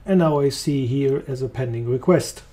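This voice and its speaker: A man with a German accent walking through a software demo, talking casually as he clicks along. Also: mid-range voice, moderate pace. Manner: relaxed, thinking aloud while clicking. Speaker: software trainer. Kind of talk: screen-recorded software demo.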